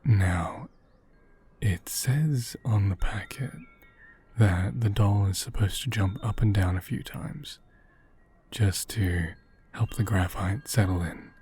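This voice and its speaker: A man performs a tender, caring male caretaker in an ASMR roleplay, soothing a fragile doll. His voice is low and mellow, soft and often whispered. He speaks very slowly, with careful, reassuring hesitation. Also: soft background music. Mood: gently concerned.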